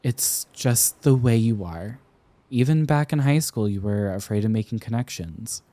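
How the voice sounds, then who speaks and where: A young man playing an affectionate, teasing sweetheart smitten with an old friend, speaking tenderly and haltingly in an intimate roleplay ASMR style. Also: lowish voice, expressive, dark tone, dry recording, lightly acted. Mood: earnest. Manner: tender, playful, halting with pauses and repeats.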